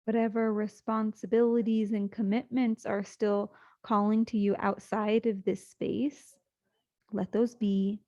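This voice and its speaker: A woman guides a sleep meditation in a soothing, gently paced voice with long pauses. Her pitch stays flat and even. The recording sounds slightly muffled and lo-fi.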